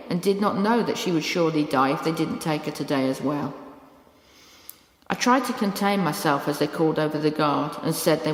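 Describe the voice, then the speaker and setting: A woman's voice: low voice, lightly acted. A British woman voicing a loving, clever rescue dog that tells its own story in a bedtime storybook style. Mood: anxious. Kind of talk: first-person storybook narration. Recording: echoey room with long reverb.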